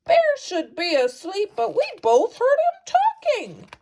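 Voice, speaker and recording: A woman voicing a cheerful hare in a picture-book story, speaking brightly and eagerly. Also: very high voice, huge pitch swings, takes it slowly, background noise, voice pushed up, heavily acted. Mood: cheery. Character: cheerful hare out walking with a friend.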